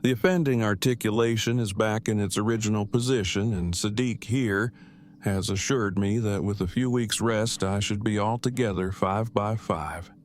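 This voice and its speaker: Low-voiced deadpan wordy oddball man, acted. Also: moderate pace, a little hiss or hum, close to an everyday voice. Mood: formal, matter-of-fact. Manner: pompous wordy formal phrasing, deadpan. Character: verbose, formal, deadpan oddball expectant father. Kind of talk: audio drama dialogue.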